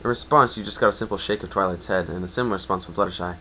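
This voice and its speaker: Low-voiced man narrating a story. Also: racing, sharp tone, a little hiss or hum. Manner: stumbles and rereads phrases. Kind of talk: dramatic reading of fan fiction, second-person narration.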